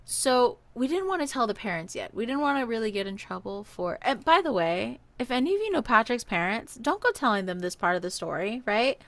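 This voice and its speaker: Chatty high-voiced woman telling a story. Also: fairly quick, hardly any pauses, no room sound. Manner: animated, chatty, acts out dialogue. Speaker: podcast host telling a personal story. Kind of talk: casual conversational storytelling.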